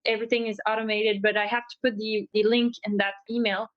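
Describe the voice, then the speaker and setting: A French-speaking woman from Quebec with a Québécois accent, a tour operator who asks thoughtful questions with many pauses and fillers. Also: medium pitch, flat delivery, no room sound. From Quebec, Canada. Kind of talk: asking questions in a podcast chat. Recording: dry, dull-sounding mic.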